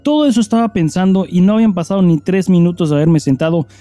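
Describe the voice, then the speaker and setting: High-voiced Mexican man, mellow and dry. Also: quick pace, dry recording, faint music behind. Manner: slangy, swearing. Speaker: podcast co-host. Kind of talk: casual podcast chat; dramatized reading of a listener email over music.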